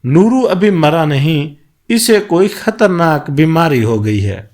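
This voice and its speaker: A greedy male trickster from a folk tale, voiced by a male narrator, suspicious and insistent. He speaks slowly and deliberately, with lively swings in pitch. The voice sits slightly high for a man. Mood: scheming.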